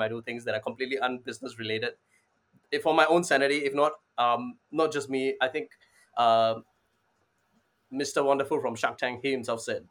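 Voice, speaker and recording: A man, answering casually as an interview guest and often saying "you know". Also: medium pitch. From Singapore. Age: 20s. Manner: informal, repeats "you know". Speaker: interview guest, startup advisor.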